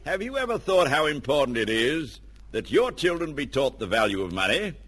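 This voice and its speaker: Casting: Australian man, old-time radio announcer, highish animated voice, slightly rough, scripted sponsor read. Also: brisk. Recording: old radio recording with music.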